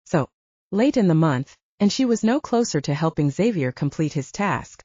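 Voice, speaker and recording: A woman narrates fiction in a mellow, slightly low voice with very lively intonation. The recording is somewhat dull and lo-fi, with a dry-edged softness to the top end. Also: moderate pace, slightly rough. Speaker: audiobook narrator. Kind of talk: expressive scripted fiction narration. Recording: dull lo-fi, roomy sound.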